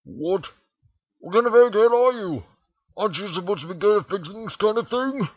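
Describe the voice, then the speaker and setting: A man's voice: high voice, expressive, moderate pace, husky, dull audio, voice pushed up, exaggerated voice. A man voicing a brash, impatient young female pegasus pony, blunt and exasperated, in a story reading.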